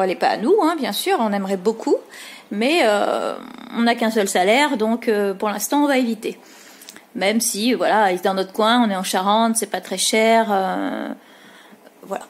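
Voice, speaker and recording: A woman at an ordinary, middling pitch. She rambles along in a casual vlog style, stopping often on hesitations as she gathers her thoughts. It sounds like someone talking into a phone at home. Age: late 40s. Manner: rambling, hesitant, many pauses on "euh". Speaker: vlogger. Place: at home, dining room.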